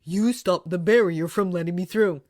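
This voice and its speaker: A woman's voice: on the low side, moderate pace, darkish tone, close to an everyday voice. A woman voicing a young schoolboy hero, blunt, snappish and sarcastic, in close to her own voice. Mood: sharp.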